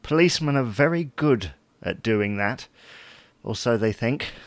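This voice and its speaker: A man's voice: mid-range voice, lively intonation, measured pace, dry recording. A man playing a dry, long-suffering husband who placates his wife in a domestic audio drama. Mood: wry, tired. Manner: wry, dryly placating. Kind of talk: domestic comedy-drama dialogue.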